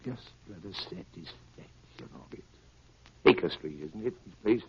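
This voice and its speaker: An actor playing a cold, calculating criminal mastermind, deliberate and ominous, in 1930s radio style. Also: male voice, low-pitched, expressive, creaky, exaggerated voice. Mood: brooding threat. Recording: dull, hissy 1930s radio broadcast.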